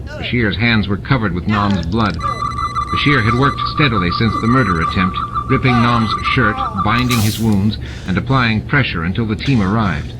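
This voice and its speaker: A man's voice: lowish voice, normal speed, very noisy. A man reading a novel aloud as scripted, dramatic audiobook narration. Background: music and sound effects added to the reading.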